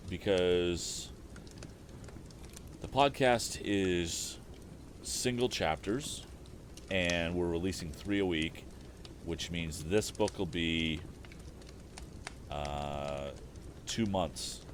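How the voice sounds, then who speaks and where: Male storytime streamer, low register, informal and unhurried in thought, slight noise on the recording. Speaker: storytime livestream host and reader. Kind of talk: casual stream chat and book narration.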